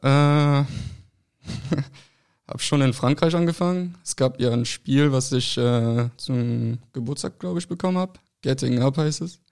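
Hesitant young man with gravelly voice. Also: medium pitch, measured pace, a little mellow. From France, raised in Berlin. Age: young adult. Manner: hesitant, short answers with many fillers. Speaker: guest, football player. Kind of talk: casual interview answers.

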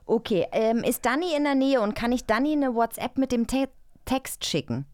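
A woman's voice: on the high side, animated, normal speed, darkish tone, no room sound, studio quality. A woman co-hosting a casual podcast chat in a teasing, bantering way. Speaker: podcast co-host.

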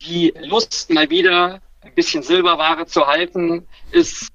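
A man's voice: fairly high pitch, sing-song, measured pace, vocal fry, bright, forceful tone, highs cut off. A man chatting casually as a guest on a football podcast, rambling with self-corrections and fillers. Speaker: guest fan commentator.

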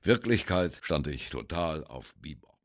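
A jazz musician looking back on his youth in a radio play. His voice is extremely deep and gravelly, with a lively, swinging range of intonation. He narrates in the first person, candid, wry and self-deprecating. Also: measured pace, heavily acted. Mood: wry reminiscing. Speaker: narrator of an audio drama.

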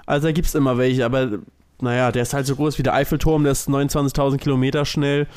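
A man's voice: mid-range voice, flat delivery, fast, darkish tone, clean tone. A man in casual podcast chat as a co-host, explaining and giving opinions in colloquial language.

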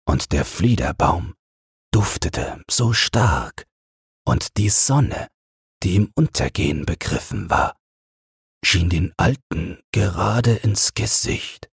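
A man reads a fairy tale as a slow bedtime narration. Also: deep voice, sing-song, vocal fry, breathy edge, no room sound, clean studio sound. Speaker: audiobook reader.

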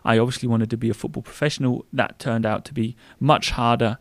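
A man's voice: mid-range voice, steady tone, fast talker, gravelly, no echo, studio quality. A man in his thirties with a German accent, chatting casually as a podcast co-host with a lot of fillers. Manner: chatty, rambling with frequent fillers.

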